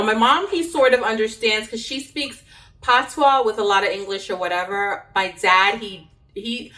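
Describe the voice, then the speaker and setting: A woman's voice: mid-range voice, sharp tone, far from the mic, poor audio. A New York woman, born in Jamaica, talks her way through cooking in her home kitchen. She chats loosely, wanders off the point and laughs easily. Her voice sits a little back from the mic, with a faucet running now and then. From born in Jamaica, raised in Brooklyn, New York. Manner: rambling and chatty, laughs often, talks while chopping. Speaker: cooking vlogger. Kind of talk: casual chat while cooking.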